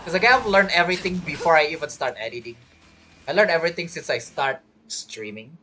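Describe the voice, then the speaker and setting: A man's voice: a little high, a little fast, pushed and bright, slight background noise, rough recording. A man giving casual, musing gameplay commentary as a streamer, thinking aloud. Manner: rambling, musing. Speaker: game streamer. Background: faint game music.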